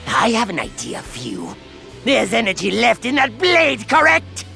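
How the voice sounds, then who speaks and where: A man's voice: high-pitched, wildly swooping pitch, measured pace, vocal fry, music in the background, exaggerated voice. A man playing a ruthless, gloating alien tyrant in an anime game dub, with theatrical, sneering menace.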